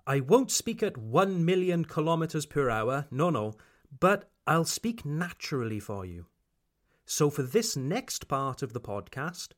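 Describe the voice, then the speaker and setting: Welsh man with a lively teaching voice. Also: medium pitch. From Wales. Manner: slow and carefully articulated. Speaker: English teacher and podcast host. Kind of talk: podcast lesson for learners.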